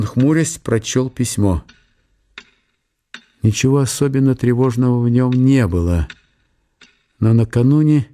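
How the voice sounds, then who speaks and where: A man giving a measured, storytelling narration of a literary radio drama. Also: mid-range voice, dark tone. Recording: music under the narration. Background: music.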